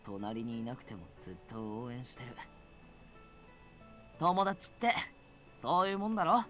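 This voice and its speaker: An actor playing an earnest, hot-blooded and easily flustered young man with rough casual speech in a visual novel game. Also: medium pitch, very animated, fast, fairly bright tone, faint music behind. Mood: encouraging. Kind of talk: Japanese visual novel voice acting.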